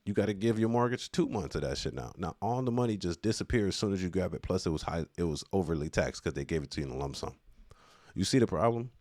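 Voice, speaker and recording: A man's voice: sits low, lively intonation, quick pace, darkish tone, no echo, clean studio sound. A man giving casual, unscripted opinion commentary in a slangy, emphatic way, often repeating phrases to drive a point home. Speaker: commentator on current affairs.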